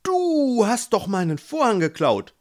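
A man voicing an angry older woman with a carpet beater who scolds loudly. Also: very animated, moderate pace, natural voice. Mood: accusing. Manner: scolding, shrill.

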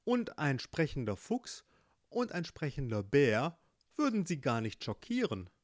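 A man's voice: on the high side, wildly swooping pitch, a little slow, dry recording, studio quality. A man voicing a clever, curious male fox in a spoken fairy tale, talking persuasively.

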